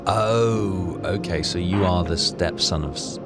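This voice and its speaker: A man with a very deep voice gives casual commentary on a game. He muses aloud as he works things out. Prominent music runs behind his voice. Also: measured pace. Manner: musing, thinking aloud. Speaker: game player giving live commentary.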